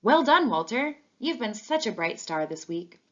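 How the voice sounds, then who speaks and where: Storybook schoolteacher played by a woman reader, proud and encouraging, lifted pitch, deliberate announcing, slightly muffled audio. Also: very animated.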